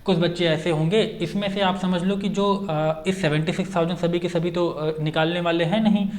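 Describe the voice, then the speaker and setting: A man's voice: high-pitched, fairly even intonation, a little fast. A man speaking as a coaching teacher, giving an informal explanatory update and repeating key phrases for emphasis, with many English words mixed in.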